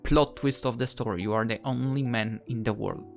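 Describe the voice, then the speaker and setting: A woman's voice: rumbling low, moderate pace, faint music behind. A woman hosting a gaming video, reading story narration and adding amused, rambling casual commentary.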